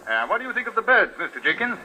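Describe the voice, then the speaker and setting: An actor playing an eager, polite street reporter coaxing an interview in a 1950s radio drama. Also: male voice, high-pitched, sing-song, rapid-fire, pushed and bright, slight background noise, heavily acted. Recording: old radio recording.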